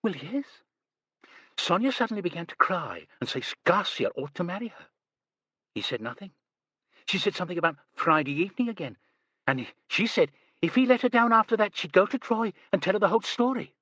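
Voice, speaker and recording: A breathless young woman with a high squeak, as a male narrator puts her on. She stammers and tumbles over her words, her voice leaping all over. The reading is dry and close. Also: very animated, brisk, sharp tone, no echo, full character voice. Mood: excited gossip. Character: nervous gossipy young woman who squeaks.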